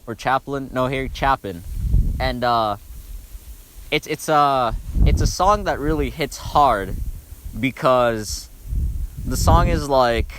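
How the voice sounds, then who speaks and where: A man's voice: medium pitch, noisy background. A young man talking casually and bluntly about songs as a video host, swearing freely.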